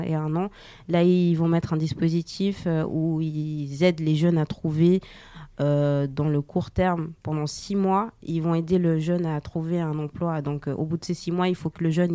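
Young woman, low voice, hesitant with fillers, dry studio, radio guest. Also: warm tone, no echo. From Portugal. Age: young adult. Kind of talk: casual radio interview.

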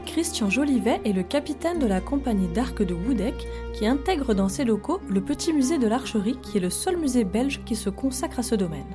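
Fairly high-voiced woman reporter, clear narration over music. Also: lively intonation, brisk, hardly any pauses. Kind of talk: interview questions and voice-over narration.

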